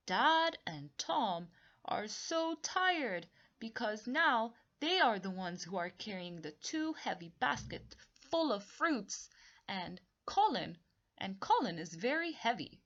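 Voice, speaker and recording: Slow, very animated woman reading a children's storybook. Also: mid-range voice, dull audio. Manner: expressive, deliberate, repeats and explains for young listeners. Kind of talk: read-along storytelling with simple explanations.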